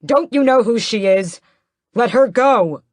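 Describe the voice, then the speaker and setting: A woman voicing a defiant young male vampire prince who yells and growls accusations. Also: low-pitched, normal speed, no room sound. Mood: furious. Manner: forceful, yelling, growling threats.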